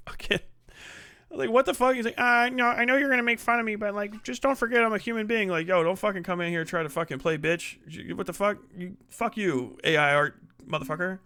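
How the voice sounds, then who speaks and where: Profane, animated male streamer. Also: sits high, a little fast, smooth, no room sound. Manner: profane, animated, jumps between loud and muttered. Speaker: livestream host. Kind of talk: casual livestream reaction chat.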